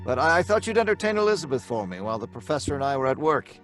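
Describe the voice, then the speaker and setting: A man's voice: mid-range voice, very animated, racing, fairly bright tone. An actor playing an earnest, obsessed young scientist telling his grim tale in an old-time radio drama style. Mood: earnest, affectionate. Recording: music under the voice.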